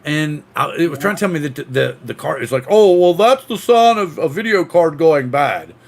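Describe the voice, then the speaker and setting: A man's voice: a little high, expressive, moderate pace, creaky. A middle-aged man hosting a casual podcast chat, rambling and jokey, laughing often. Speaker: podcast host. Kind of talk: casual chat on a livestream panel.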